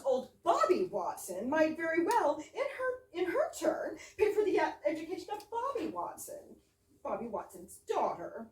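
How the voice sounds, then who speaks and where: A woman playing an earnest, easily ruffled English wife with absurd logic in a staged reading of a comedy play. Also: high-pitched. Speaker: amateur actress in a readers theater. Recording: roomy, distant, noisy live stage recording.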